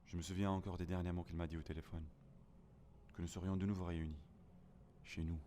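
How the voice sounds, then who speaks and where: Man acting a deep-voiced, lonely apocalypse survivor. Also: little pitch movement, fast talker, warm tone, slight background noise, close to an everyday voice. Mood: mournful, wistful. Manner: somber, melancholic, dramatic delivery. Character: lonely grieving male survivor of a plant apocalypse. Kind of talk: first-person audio drama narration.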